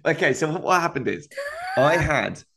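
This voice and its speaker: A man's voice: a little high, animated, normal speed, fairly bright tone, a little hiss or hum. A man co-hosting a comedy podcast, telling a rambling travel anecdote in casual chat and laughing often.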